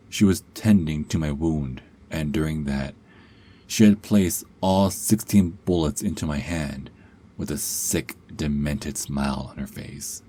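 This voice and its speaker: A man's voice: very deep voice, mellow. A man playing a cocky, sarcastic young adult werewolf held captive, in an ASMR roleplay with a taunting, sardonic drawl.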